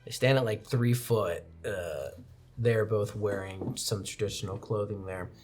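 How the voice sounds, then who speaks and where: A man's voice: lowish voice, unhurried, a little mellow. A man narrating a tabletop roleplaying game as its game master. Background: faint background music.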